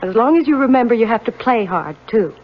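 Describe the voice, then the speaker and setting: A confident society woman who speaks bluntly and likes to take charge. Her voice sits a bit high. It sounds like a seventies radio play, with the top end dulled. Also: very animated, fast talker, soft background music. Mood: confident, eager. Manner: direct, commanding. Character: brisk, direct, bossy society woman in love. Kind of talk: 1970s radio drama acting. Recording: dull old radio recording.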